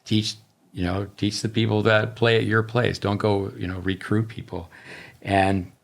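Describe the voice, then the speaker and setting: A middle-aged man, a tennis coach co-hosting a podcast, talking casually and listing ideas in quick bursts. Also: fairly low pitch, flat delivery, gravelly.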